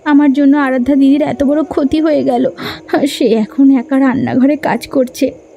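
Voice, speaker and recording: A woman's voice: sits high, steady tone, normal speed, warm tone, slight background noise. Woman voicing a remorseful younger sister.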